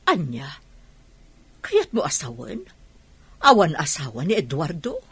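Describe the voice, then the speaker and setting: A woman playing a tender, hesitant older trainer and long-lost sweetheart in a Philippine radio drama, with a husky voice. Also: low-pitched, huge pitch swings, measured pace, dry recording, exaggerated voice. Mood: surprised.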